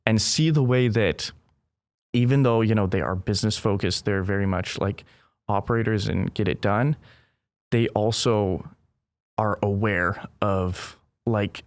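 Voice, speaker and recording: A young man who grew up in Brazil talks through his answers in an earnest, thoughtful way, often checking in with a quick "right?". His voice sits a little low and sounds smooth and resonant, and the recording is close and dry. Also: no echo. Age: young adult. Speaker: guest. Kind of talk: casual conversational interview.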